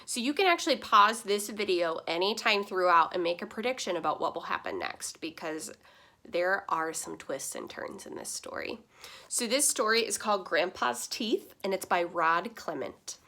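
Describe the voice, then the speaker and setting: A woman's voice: medium pitch, normal speed. A woman reading a children's picture book aloud, expressively, with asides to the listeners. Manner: expressive storytelling. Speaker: story reader.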